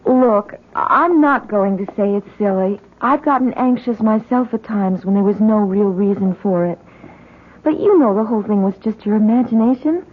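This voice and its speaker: A woman's voice: medium pitch, moderate pace, close to an everyday voice. An actress in an old-time radio drama playing a sensible, reassuring young woman at college. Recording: tinny, faintly noisy old radio recording.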